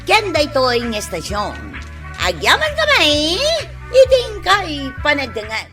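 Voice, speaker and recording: A woman's voice: high-pitched, huge pitch swings, a little slow, bright, forceful tone, faint music behind. A woman with an Ilocano accent reading scripted radio drama credits as an announcer.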